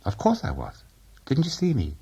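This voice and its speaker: A man voicing a lively young wife, chatting in a quick, confiding way. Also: mid-range voice, slightly rough, lo-fi sound, voice pushed up, heavily acted.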